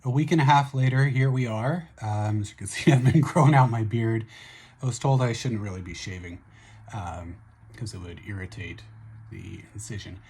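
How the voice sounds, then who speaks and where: A middle-aged man with a hoarse voice, chatting casually in a video diary, upbeat and relaxed with many fillers and restarts. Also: on the low side, moderate pace. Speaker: vlogger recovering from surgery.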